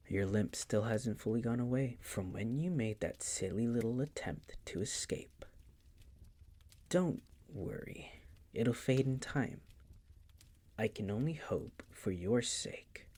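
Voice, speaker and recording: A man playing a possessive, coolly menacing vampire master in an intimate audio roleplay, teasing and condescending in a breathy, gravelly whisper. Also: fairly low pitch, mellow. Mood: mock-tender, menacing. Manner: slow, condescending, teasing, coaxing.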